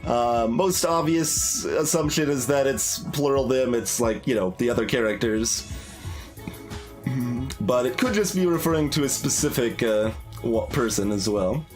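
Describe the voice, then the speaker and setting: A man hosting a game playthrough, talking through his thoughts with many pauses and restarts. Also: medium pitch, expressive, faint music behind. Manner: thinking aloud, many fillers and restarts. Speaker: let's play host. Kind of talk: casual game commentary.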